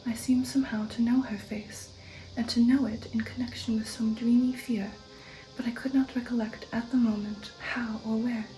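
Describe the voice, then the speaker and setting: A woman narrates classic fiction as whispered ASMR, her soft voice mellow and kept very even. She speaks slowly and deliberately in a breathy hush, with faint music behind her. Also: fairly high pitch, low-quality recording. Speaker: audiobook-style reader and ASMR host. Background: faint background music.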